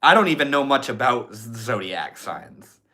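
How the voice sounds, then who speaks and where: A man's voice: mid-range voice, sing-song, normal speed, bright, forceful tone. A young man with a stuffy nose, casually chatting with his livestream viewers and swearing freely. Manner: laid-back, swears often, chats with viewers. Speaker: livestreamer reading chat.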